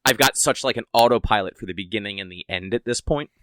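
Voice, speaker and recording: A man hosting a casual gaming podcast, chatting loosely with frequent swearing and quick excited bursts. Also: fairly low pitch, no echo. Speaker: podcast host. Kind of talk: casual chat. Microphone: home podcast mic on a laptop.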